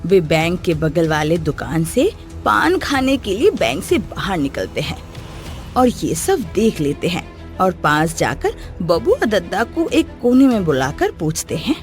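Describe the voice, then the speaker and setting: A woman's voice: on the low side, very animated, measured pace, faint music behind. A woman narrating a scripted children's story.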